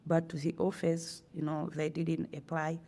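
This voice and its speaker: Woman, teacher researcher, low mellow voice, quick and earnest. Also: completely flat delivery, creaky. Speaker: teacher researcher, guest editor. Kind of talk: academic interview.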